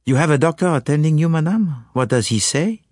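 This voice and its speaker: A man's voice: fairly high pitch, animated, brisk, darkish tone, no echo, clean studio sound, pitched above the performer's own voice. A man reading an audiobook, voicing a fussy, courteous foreign male detective who speaks precisely and drops French phrases. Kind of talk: audiobook character voice.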